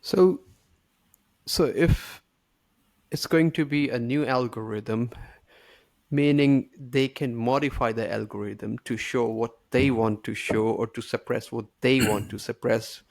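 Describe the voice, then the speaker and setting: Casting note: male interview host, gravelly low voice, dry close sound. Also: unhurried, dry recording. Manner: brief backchannel agreement. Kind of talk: casual interview questions.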